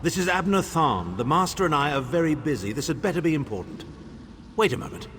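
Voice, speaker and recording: Arrogant game villain, curt commanding sorcerer, lively swooping intonation, booming hall reverb. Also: male voice, a little fast. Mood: irritated, imperious. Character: haughty, impatient male imperial sorcerer villain. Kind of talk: fantasy video game voice acting. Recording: long hall reverb, faint noise.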